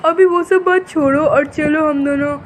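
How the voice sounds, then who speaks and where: Man acting high-pitched, devoted young lover. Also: fairly even intonation, moderate pace, slight background noise. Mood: earnest, affectionate. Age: young adult. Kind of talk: dubbed cartoon story dialogue.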